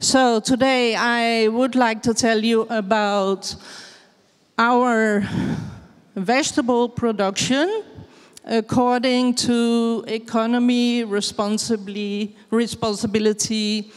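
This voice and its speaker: Dutch woman speaker, slow and halting, clear voice, hall echo. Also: on the high side, little pitch movement. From Netherlands. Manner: hesitant, searching for words in English. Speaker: farmer giving a presentation. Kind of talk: slow halting presentation with many fillers. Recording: long hall reverb.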